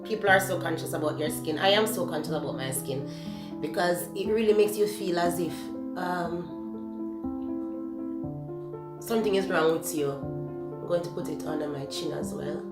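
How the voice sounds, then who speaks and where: A woman's voice: fairly low pitch, normal speed, pauses often. Candid Jamaican woman vlogging from her kitchen. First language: Jamaican Patois. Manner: candid, searching for words, self-correcting. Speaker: beauty vlogger. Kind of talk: casual talk-to-camera beauty vlog. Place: home kitchen, indoors. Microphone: camera close to the face. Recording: echoey kitchen with background music.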